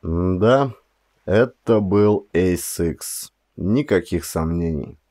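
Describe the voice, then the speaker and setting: A man narrating first-person fiction as an audiobook actor, dramatic, brooding and expressive. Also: sits low, extremely slow, no echo, studio quality.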